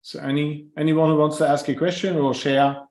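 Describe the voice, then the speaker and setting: A man's voice: on the high side, fairly even intonation, fairly quick, a little mellow. A man moderating an online webinar on a video call, hesitant with many fillers. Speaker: webinar host. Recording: echoey room on a video call.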